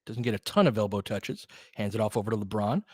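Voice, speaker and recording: A man's voice: lowish voice, fast talker, darkish tone, slightly rough, no echo. Man calling casual basketball play-by-play, dry sound. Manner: clipped phrases, informal asides. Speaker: play-by-play commentator.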